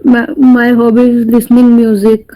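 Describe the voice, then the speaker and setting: A woman's voice: a little high, little pitch movement, normal speed, warm tone, no room sound, poor audio. A young female student from Punjab introducing herself in short, plain answers. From Punjab, India. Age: young adult. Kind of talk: self-introduction.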